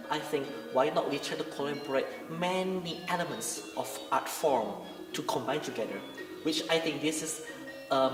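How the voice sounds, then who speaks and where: A young Indonesian man, a pianist and composer, speaking earnestly and hesitantly in a pre-concert interview, often searching for words. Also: mid-range voice. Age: young adult. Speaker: pianist and composer, masters student. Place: large recital room. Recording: echoey room, distant mic, music behind.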